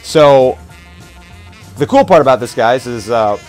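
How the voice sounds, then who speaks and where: A man's voice: medium pitch, animated, a little slow, darkish tone, poor audio. A man chatting casually on a livestream, rambling and hesitant, often repeating his phrases. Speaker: streamer and roleplay player. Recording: music playing behind. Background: music.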